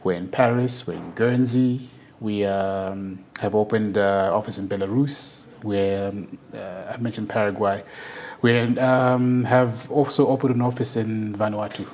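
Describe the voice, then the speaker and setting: A man's voice: lowish voice, steady tone, measured pace, darkish tone, slight background noise. A man from Zimbabwe, a company's country manager, answering interview questions in a hesitant way with frequent fillers and restarts.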